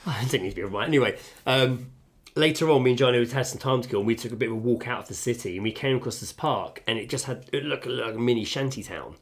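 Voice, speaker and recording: A man co-hosting a relaxed podcast, recorded at a kitchen table. He tells rambling anecdotes with casual swearing, at an ordinary mid pitch. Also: fast talker. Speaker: podcast co-host. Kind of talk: casual chat. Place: home kitchen.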